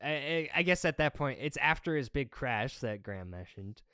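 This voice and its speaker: Regular guy chatting about movies on a podcast, average pitch, dry close sound. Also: lively intonation, normal speed, bright, forceful tone, clean tone, no echo. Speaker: film podcast speaker. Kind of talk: casual film discussion.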